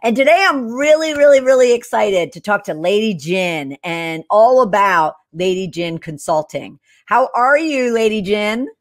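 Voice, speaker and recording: A woman's voice: medium pitch, expressive, unhurried, hardly any pauses. Upbeat older woman hosting an interview. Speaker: show host. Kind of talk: video-call interview. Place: living room with couch.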